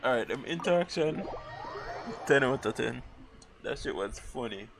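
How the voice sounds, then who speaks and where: A man's voice: mid-range voice, expressive, normal speed, gravelly. A young man giving casual livestream game commentary, rambling and slangy as he thinks aloud. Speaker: game streamer. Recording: noisy livestream mic.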